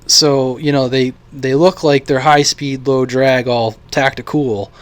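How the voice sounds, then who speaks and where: A man's voice: medium pitch, steady tone, a little slow, no echo. An American man giving a casual, opinionated vlog monologue in a blunt, informal, rambling way, as a radio hobbyist. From United States.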